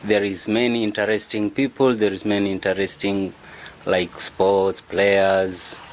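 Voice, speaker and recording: A man's voice: on the low side, flat delivery, a little slow, a little hiss or hum, poor audio. A man, hosting a radio interview and putting simple questions to his guests. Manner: welcoming, rhyming opener, simple questions. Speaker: radio host.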